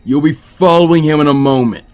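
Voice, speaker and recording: A man voicing a stern older ninja mentor in a fan fiction reading, threatening and taunting in a deliberate way. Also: fairly high pitch, a little mellow, faint music behind, pitched above the performer's own voice, lightly acted. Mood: cold, taunting.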